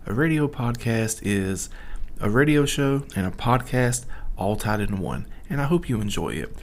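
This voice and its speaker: A man's voice: mid-range voice, expressive, moderate pace. A man hosting a podcast in a casual, chatty style. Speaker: podcast host. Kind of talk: casual chat.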